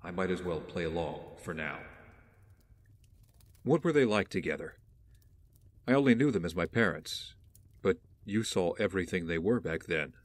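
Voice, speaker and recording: An actor playing a guarded young wealthy heir in an audio drama, brooding and wary, with a measured, terse delivery. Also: male voice, low-pitched, animated, no echo. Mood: brooding, analytical.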